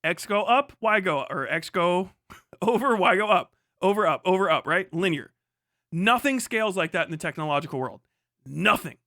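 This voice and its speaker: A man's voice: high-pitched, pushed and bright, no room sound, studio quality. A man on a casual, emphatic livestream rant, speaking off the cuff, repeating himself for emphasis and swearing freely. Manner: emphatic, repetitive, profane.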